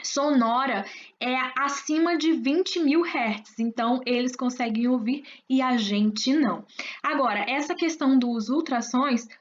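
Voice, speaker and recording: High-voiced woman teacher, lo-fi recording. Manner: explanatory, addresses students with frequent check-ins. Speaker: science teacher. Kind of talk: recorded class explanation. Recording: dull lo-fi recording.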